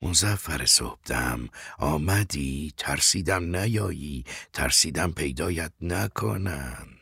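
Male narrator playing reproachful frail old man, very deep, raspy, mournful, repetitive pleading, storybook drama. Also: lively intonation, pushed and bright, no echo, studio quality, full character voice. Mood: weary, bitter. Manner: insistent, repeats himself mournfully. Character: embittered, frail old man, once powerful, reproachful and fearful. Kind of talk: dramatic audiobook character acting.

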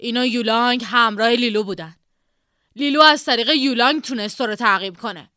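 A man's voice: very high-pitched, bright, forceful tone, clean tone, dry recording, studio quality, pitched above the performer's own voice, full character voice. A man voicing a sly, smug young schemer in a storybook reading. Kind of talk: audiobook character voice.

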